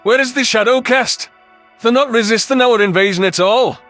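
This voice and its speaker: Guy putting on a pushy space squad dude, really high bright voice, clipped, audio drama. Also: moderate pace. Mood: puzzled. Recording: music under the voice.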